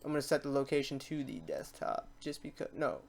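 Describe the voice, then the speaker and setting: A man hosting a casual software tutorial, talking off the cuff in an informal, chatty, rambling way and often repeating himself. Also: mid-range voice, very animated, fairly quick, vocal fry, a little hiss or hum. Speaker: host of a software tutorial series. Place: voice-over to a screen recording.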